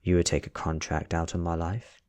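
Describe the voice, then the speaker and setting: British man reading as a nervous, scheming blackmailer, low-voiced. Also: little pitch movement, brisk, natural voice. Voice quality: soft, breathy. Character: nervous, scheming male investigator attempting blackmail. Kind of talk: soft-spoken ASMR storybook reading.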